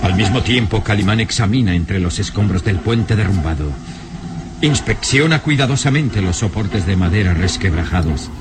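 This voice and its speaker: A man's voice: on the low side, animated, moderate pace, loud music behind the voice, lightly acted. A man narrating a radio adventure serial in a grave, literary, suspenseful manner.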